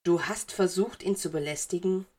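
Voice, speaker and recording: A woman's voice: fairly low pitch, normal speed, darkish tone, lightly acted. A woman voicing a stern, sarcastic, impatient male wizard teacher in an audiobook, curt and sardonic. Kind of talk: audiobook character voice.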